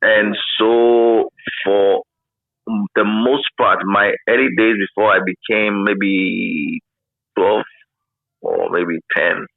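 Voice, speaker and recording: A man's voice: on the low side, steady tone, slow, creaky, dry recording. A man in his fifties with a Ghanaian accent, reminiscing in a casual interview and often repeating phrases for emphasis. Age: 50s. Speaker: interview guest, former radio pioneer. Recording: narrow phone-like line.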